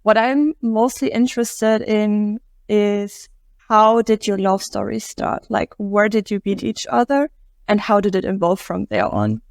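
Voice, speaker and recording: A woman hosts an interview podcast and reads an eager, scripted welcome. Her voice has a gravelly fry, and the recording is close and dry. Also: medium pitch, lively intonation, unhurried, darkish tone, dry recording. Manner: enthusiastic, scripted intro. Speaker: podcast host.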